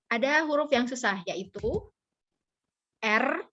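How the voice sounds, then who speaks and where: A woman with a bright, high voice leads a casual online speaking class at an unhurried pace. She is playful and colloquial, giving instructions to her students. The audio is slightly dull, like a video call. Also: animated. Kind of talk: informal interactive online lesson.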